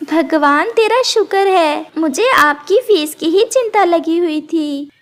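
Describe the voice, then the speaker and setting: A woman voicing a poor, gentle, grateful widowed mother bird, speaking politely and earnestly in a cartoon. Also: very high-pitched, slow. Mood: tender, relieved.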